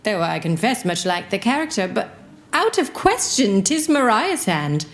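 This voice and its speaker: A woman's voice: medium pitch, big pitch swings, a little slow. A woman playing a composed, pitying noble lady in a theatrical Shakespearean audio play.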